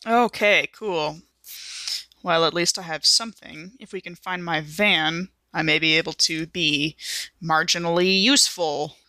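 A woman's voice: fairly low pitch, a little slow, sharp tone, no room sound. A woman playing a tabletop game, talking in a hesitant, casual way. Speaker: player.

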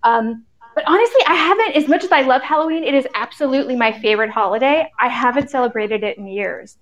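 A woman's voice: high voice, lively intonation, fast. A woman past her twenties chatting casually as a guest on a live podcast. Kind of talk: casual chat.

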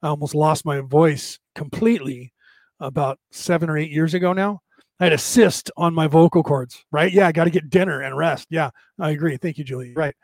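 A man hosting a casual livestream, rambling through spiritual musings with many restarts, his slightly raspy voice tiring. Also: fairly high pitch, steady tone, a little fast, no room sound. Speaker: podcast host. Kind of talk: casual livestream monologue answering viewer comments.

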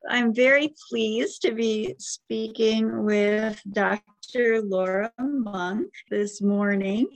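A woman's voice: a little high, extremely slow, hardly any pauses, poor audio. An American woman in her forties hosting a podcast, interviewing in a chatty, affirming, conversational way. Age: 40s. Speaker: podcast host.

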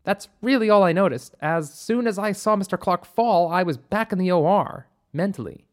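A composed woman doctor as a narrator acts her out in an audiobook story. She speaks in a thoughtful, precise way, observant and measured, with a wry playful edge. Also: on the low side, expressive, voice pushed up, lightly acted.

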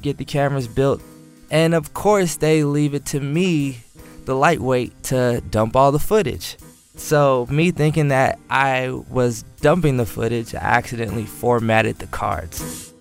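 A man's voice: medium pitch, a little slow, faint music behind. A cinematographer telling a story about his own mishap on set, casually and with self-deprecating humor. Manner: animated, self-deprecating, acts out quotes. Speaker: cinematographer guest.

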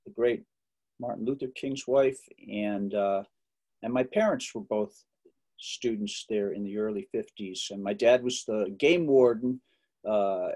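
A man's voice: fairly low pitch, unhurried, mellow. A middle-aged man from Massachusetts chats through an interview at an easy pace. He jokes and circles back with fillers and restarts as he tells stories about growing up. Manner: chatty, joking, many fillers and restarts. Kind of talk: relaxed interview storytelling.

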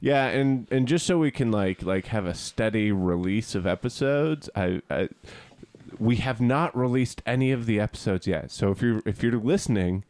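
Low-voiced rambling man, dry close mic. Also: expressive, normal speed, a little mellow, no room sound. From Cape Cod, Massachusetts. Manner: rambling, hesitant, many fillers and restarts. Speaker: podcast co-host. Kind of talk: casual chat.

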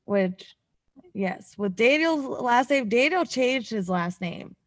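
A woman with a fairly high voice hosts a podcast in a loose, chatty way. She is animated and quick to giggle. The sound is very dry, with hardly any room on it. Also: no room sound. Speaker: podcast host. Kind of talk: casual chat.

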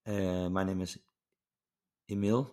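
A man in his twenties with a Danish accent, hosting a casual conversational interview in a hesitant, halting way. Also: sits low, fairly even intonation, dark tone. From Denmark. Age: 20s. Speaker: podcast host.

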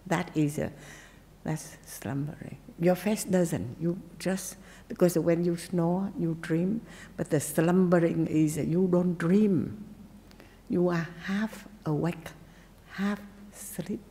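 Slow, low-voiced woman teaching meditation. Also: fairly even intonation, big-room sound. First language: not English. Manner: gentle, simple phrasing with pauses and asides. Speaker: Buddhist nun teacher. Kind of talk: dharma talk and meditation teaching.

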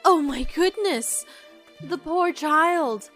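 A woman's voice: high-pitched, lively intonation, slow pace, a bit bright, faint music behind, exaggerated voice. A woman voicing a cheerful female companion who explains things in a teasing, upbeat way.

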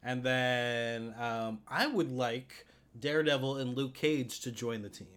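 A man's voice: mid-range voice, a little slow, a bit bright, smooth. A man chatting casually as the co-host of a podcast. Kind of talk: casual chat about comic book films.

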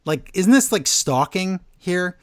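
A man co-hosting a podcast, recapping wrestling shows in an animated, storytelling way and acting out what the wrestlers said. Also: high-pitched, measured pace, no room sound, clean studio sound. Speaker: podcast co-host. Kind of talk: casual wrestling recap chat.

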